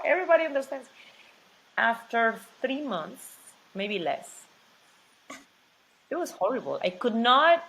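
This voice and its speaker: A woman from Mexico with a Mexican Spanish accent, speaking English as a second language as an interview guest, talkative and animated, often repeating words and saying "like". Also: fairly high pitch, rough recording. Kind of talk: casual interview.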